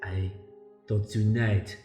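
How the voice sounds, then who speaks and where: Melodramatic chef, played by a man: low, florid, sorrowful, improvised radio comedy in a roomy space. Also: flat delivery, slow, mellow. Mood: mournful, theatrical. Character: melodramatic aspiring French chef, mournful widowed man. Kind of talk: improvised comic radio play. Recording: roomy, faint music underneath.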